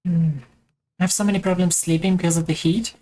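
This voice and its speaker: Low-voiced German woman streaming games. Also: steady tone, quick pace, mellow. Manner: chatty, rambling, self-mocking. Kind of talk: casual gaming chat.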